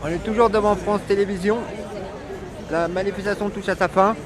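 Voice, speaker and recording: A man's voice: high-pitched, fairly even intonation, fairly quick. A man reporting from a street protest, chatting casually and supportively, hesitant and full of restarts. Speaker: livestream reporter. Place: outdoor street protest in a city. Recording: noisy protest crowd.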